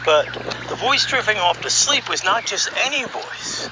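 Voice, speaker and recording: A man with a fairly high-pitched voice tells a story in a conversational podcast style. He is wry and musing, leaning on key words and pausing for drama. There is clear noise behind his voice. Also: animated. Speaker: storyteller and voice session director.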